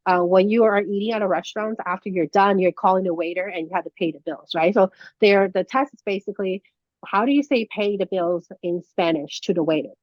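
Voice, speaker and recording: A woman's voice: medium pitch, no echo. Woman from Taiwan, native Mandarin speaker, casual teacher, many ums and restarts, lo-fi call sound. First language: Mandarin Chinese. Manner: chatty, many fillers and restarts. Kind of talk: casual conversational lesson. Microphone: video call. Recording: dull lo-fi video call audio.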